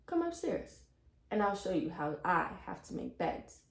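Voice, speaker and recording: A woman's voice: on the low side, very animated, measured pace, creaky, heavily acted. Woman reading as a playful tale-spinning lady, echoey. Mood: confiding, dramatic. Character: playful, kindly woman who spins tall tales for children.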